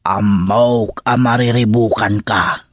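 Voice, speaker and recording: A man's voice: lowish voice, fairly even intonation, slow, no echo, clean studio sound. An actor playing a concerned, firm father talking to his daughter in Ilocano radio drama style, with an Ilocano accent.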